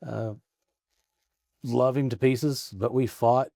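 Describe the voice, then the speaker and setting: A man's voice: medium pitch, steady tone, slow pace, vocal fry, mellow, no room sound. Man, forties, from arkansas, interview guest, rambling, restarts stories, close dry mic. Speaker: guest, state representative. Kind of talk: casual interview conversation. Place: office in the state capitol.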